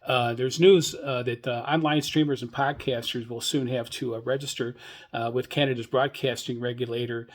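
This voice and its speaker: An older man hosting a hobbyist podcast, giving informal solo news commentary in a hesitant, halting, rambling way. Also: medium pitch, steady tone. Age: older, 60s or above. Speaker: radio hobbyist podcast host.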